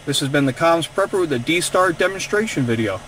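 A man presents a hands-on instructional demonstration as a video host. His voice sits a little high. He is recorded inside a vehicle, with faint fan and road-vehicle noise behind him. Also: animated, a little fast, fairly bright tone. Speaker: radio hobbyist video host.

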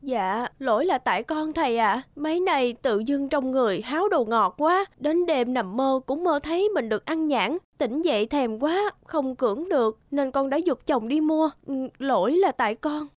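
Plaintive, ailing village wife. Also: female voice, high-pitched, expressive, moderate pace, hardly any pauses, no room sound. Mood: apologetic. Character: weak, apologetic pregnant village wife, sick in bed. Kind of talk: Vietnamese cartoon voice acting.

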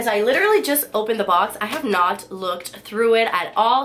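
A woman's voice: fairly high pitch, a bit bright. Bubbly young woman, voice still recovering. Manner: bubbly, repeats words for emphasis. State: recovering from lost voice. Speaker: fashion haul vlogger. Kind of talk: casual chatty haul vlog. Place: bedroom with holiday tree and boxes, indoors.

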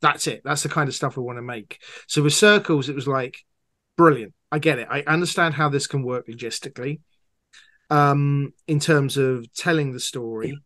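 A man's voice: medium pitch, moderate pace, fairly bright tone, no room sound, clean studio sound. A man answering interview questions as a guest audio drama producer, talking conversationally and with enthusiasm.

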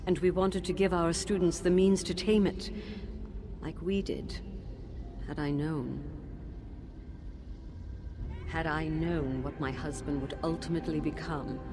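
Actress playing a grieving ghost wife, slightly low voice, solemn and formal, loud game score behind. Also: female voice, close to an everyday voice. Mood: regretful. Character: grieving ghost wife of a lich, noble and earnest. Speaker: sorceress ghost. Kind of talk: video game voice acting. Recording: game audio with loud music. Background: orchestral score.

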